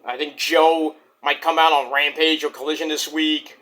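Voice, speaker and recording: A man casually reviewing a wrestling show, rambling and swearing, with bursts of excitement, while losing his voice to a sore throat. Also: on the high side, moderate pace.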